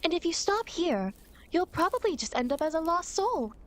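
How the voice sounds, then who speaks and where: A woman's voice: very high-pitched, very animated, moderate pace, slight background noise. A woman playing a playful, teasing demon girl who guides dead souls, in a coy, dramatic ASMR roleplay. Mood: amused, condescending. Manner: coy, mischievous, mock-sympathetic, dramatic. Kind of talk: ASMR roleplay, acted monologue to the listener.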